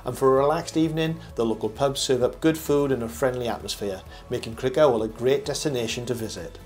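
An older man reading a scripted review voice-over as host, in a measured, formal presenter manner. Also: mid-range voice, a little mellow. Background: background music.

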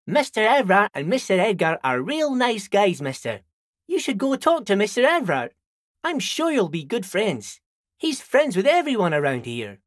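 A high-voiced folksy old dockworker. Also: male voice, wildly swooping pitch, normal speed, dry recording, clean studio sound. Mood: friendly, chatty. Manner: folksy, rambling, repeats himself. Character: friendly simple-minded old dockworker, chatty and loyal. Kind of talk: video game character dialogue.

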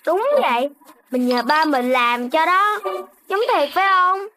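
A performer voicing a young Dalmatian puppy boy in a children's cartoon, animated and childlike, cheerful but quick to sulk. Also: sits high, a little hiss or hum, full character voice. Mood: eager. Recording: music behind the voice.

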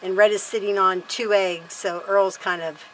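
A woman's voice: mid-range voice, fairly even intonation, moderate pace, noisy background. A woman in her fifties chatting casually to camera outdoors on a wooden deck, rambling and correcting herself as she talks. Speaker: homesteader vlog host.

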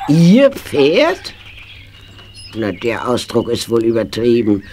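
Stern, low-voiced middle-aged wife. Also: female voice, huge pitch swings, takes it slowly, darkish tone, full character voice. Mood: disapproving. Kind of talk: German children's audio play acting. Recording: noisy vintage audio play recording.

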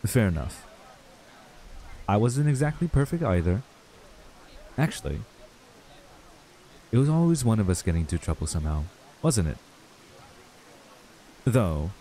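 A man's voice: sits low, animated, moderate pace, pauses often, warm tone, low-quality recording. A man playing a laid-back, wistful young man in an ASMR roleplay, talking casually and hesitantly to a childhood friend. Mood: teasing, nostalgic. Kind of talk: ASMR roleplay acting, intimate and conversational.

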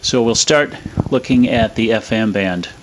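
A man explaining a demonstration in a measured, matter-of-fact way, with a radio playing. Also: on the low side, low-quality recording. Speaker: presenter demonstrating a vintage radio. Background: radio playing music and stations.

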